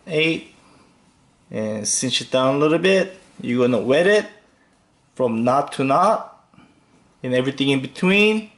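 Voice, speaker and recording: A man hosting a fishing tutorial, calmly talking through each step with occasional fillers as he ties knots. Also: mid-range voice. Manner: slow, relaxed instructional talk with fillers. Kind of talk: step-by-step demonstration voice-over.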